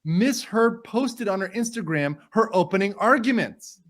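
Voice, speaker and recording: A man's voice: sits high, animated, a little slow, fairly bright tone, full character voice. A man doing a dramatic reading as an indignant, courteous trial lawyer, formal and emphatic as he argues to a judge. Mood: outraged.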